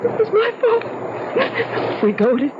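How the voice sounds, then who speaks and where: An intense, emotional married woman in a vintage radio drama. Her high voice swings widely in pitch. The old recording is muffled and noisy. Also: big pitch swings, exaggerated voice. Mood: hysterical guilt. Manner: dramatic, intense, halting repetitions. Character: guilt-stricken married woman, emotional and superstitious. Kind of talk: old-time radio drama acting and narration.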